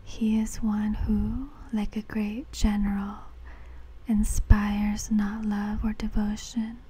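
A woman whispering a fortune-telling reading in ASMR style, breathy and deliberate, speaking close to the mic. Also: mid-range voice, level tone, dark tone. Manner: slow, deliberate, measured phrasing. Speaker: fortune teller.

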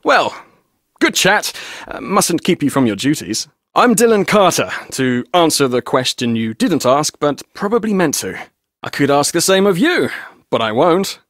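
A man's voice: a little high, very animated, moderate pace, fairly bright tone, no echo, studio quality, heavily acted. An actor voicing a breezy, witty young male tour guide who teases playfully. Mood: teasing. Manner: playful, teasing.

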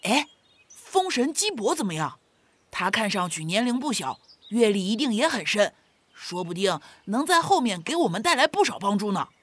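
Woman acting husky, eager schoolboy. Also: mid-range voice, sing-song, moderate pace. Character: eager, impulsive schoolboy adventurer. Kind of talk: children's audio drama acting.

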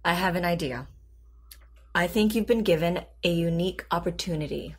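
An actress playing a calm, patient therapist in an audio drama, composed and deliberate in her delivery. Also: lowish voice, husky. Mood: firm, encouraging. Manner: composed, deliberate, clinical, reassuring. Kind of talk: naturalistic audio drama acting.

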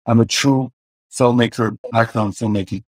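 A man's voice: medium pitch, fairly even intonation, moderate pace, darkish tone, no echo, studio quality. A man in his forties with a mixed Iranian and Swedish accent, speaking hesitantly with many fillers on a video call interview. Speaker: car filmmaker guest. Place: home room indoors. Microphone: video call webcam.

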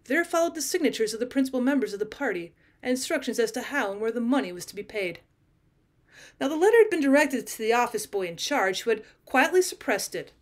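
High-voiced woman reading a story aloud. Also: sing-song, fast. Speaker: teacher reading audiobook. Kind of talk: scripted story narration, read aloud.

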